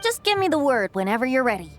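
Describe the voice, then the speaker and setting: A woman's voice: high-pitched, very animated, quick pace, soft background music. A woman voicing a playful, youthful wind spirit in a video game, telling old lore with light teasing asides. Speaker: game character.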